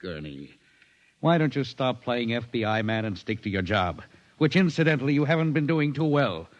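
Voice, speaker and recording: A man's voice: medium pitch, big pitch swings, moderate pace, close to an everyday voice. An actor playing a brusque, impatient male office boss in a 1950s radio drama recording. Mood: irritated. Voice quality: brusque, dismissive, blustering. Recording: dull old 1950s radio broadcast.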